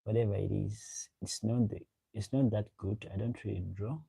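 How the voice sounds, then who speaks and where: Gravelly-voiced man chatting on a livestream. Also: lowish voice, steady tone, normal speed, dark tone. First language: a local African language. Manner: rambling, repeats himself, chats directly to viewers. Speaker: livestream host. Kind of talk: casual chat reading live comments.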